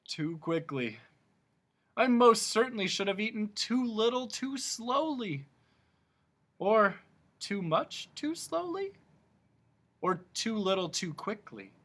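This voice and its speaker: A pompous, wheezing humbug creature with a high, swooping, showy voice, as a storyteller puts him on. He talks in flowery, repetitive phrases, rising to loud, drawn-out declarations in a storybook reading. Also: very animated, full character voice.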